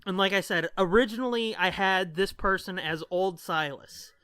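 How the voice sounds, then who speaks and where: A man's voice: sits high, moderate pace, sharp tone, clean tone, dry recording. A man co-hosting a podcast in casual, informal chat with plenty of swearing. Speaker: podcast host.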